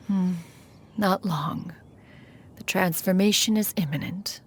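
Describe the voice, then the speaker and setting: A woman's voice: on the low side, slow, a little hiss or hum. A woman voicing an aloof, ancient female crane spirit in a game cutscene, speaking in a formal, lofty manner. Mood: solemn. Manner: formal, refers to herself as "one".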